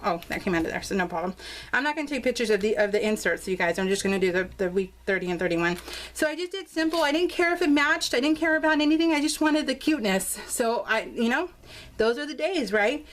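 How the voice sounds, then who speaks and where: Higher-voiced, bubbly American woman vlogger. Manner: bubbly, gushing, informal. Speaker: craft vlogger showing her scrapbook album. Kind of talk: casual chatty narration of a craft show-and-tell.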